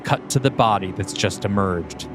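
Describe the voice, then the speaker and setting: A man's voice: fairly low pitch, normal speed, music in the background. Man co-hosting a storytelling podcast. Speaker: podcast co-host. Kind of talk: scripted screenplay narration and casual creative discussion.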